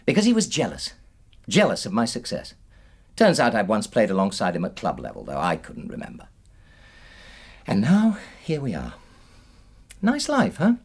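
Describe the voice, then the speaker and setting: An actor playing an aggrieved, defensive middle-aged British husband in a radio drama, indignant and self-justifying. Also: male voice, on the low side, huge pitch swings, normal speed, long pauses, breathy edge, heavily acted. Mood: bitter, boastful.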